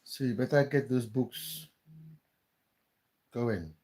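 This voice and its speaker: A man's voice: medium pitch, takes it slowly, a little mellow. A man from Cameroon, hosting a casual live stream, chatting with viewers and often repeating words as he thinks aloud. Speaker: livestream host.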